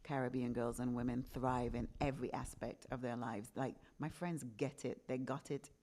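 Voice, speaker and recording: A woman's voice: rumbling low, fairly even intonation, moderate pace, warm tone. A woman in her fifties from England, a podcast host telling her own story in a candid, reflective conversation. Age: 50s. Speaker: podcast host being interviewed.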